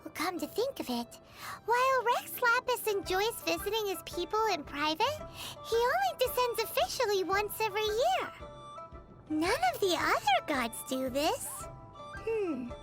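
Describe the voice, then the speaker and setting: A woman voicing a tiny, excitable, childlike fairy companion, chirpy and eager, in a video game. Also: very high voice, moderate pace, over music. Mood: concerned, pondering. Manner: chirpy, refers to herself in third person. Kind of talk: video game character voice lines.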